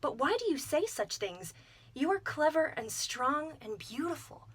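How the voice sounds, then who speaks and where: A kind young woman speaks softly and sweetly, her voice light and high, as a woman reading a storybook puts her on. She sounds patient and caring, never raising her voice. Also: expressive, measured pace. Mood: gentle. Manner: soft and gentle. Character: kind gentle young woman, soft-spoken.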